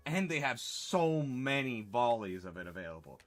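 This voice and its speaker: A man's voice: medium pitch, sing-song, moderate pace, soft background music. A man chatting casually with his chat while livestreaming a game, answering questions and correcting himself as he goes.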